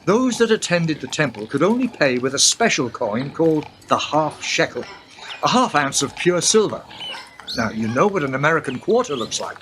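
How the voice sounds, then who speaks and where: A man playing a knowing guide who explains history to a young woman in a radio play, in an explanatory, unhurried way. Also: a little high, big pitch swings, a bit bright, slight background noise. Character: wise older male guide through history, knowing. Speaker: narrator-guide.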